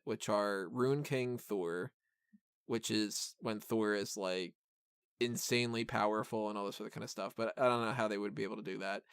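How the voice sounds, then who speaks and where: A man's voice: medium pitch, smooth, no echo, studio quality. A man hosting a podcast, discussing a film casually in a rambling, enthusiastic way. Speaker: podcast host reviewing a film.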